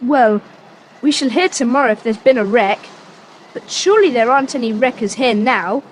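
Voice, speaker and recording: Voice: mid-range voice, lively intonation, moderate pace, a little hiss or hum, lightly acted, low-quality recording. A performer playing a game but cautious young schoolboy adventurer in a children's audiobook drama, earnest and well-spoken. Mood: uneasy, friendly.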